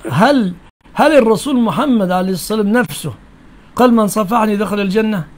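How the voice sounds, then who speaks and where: Egyptian sheikh taking calls, fast talker, highish voice, blunt, fires questions back at callers. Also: male voice. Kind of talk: live call-in religious Q&A.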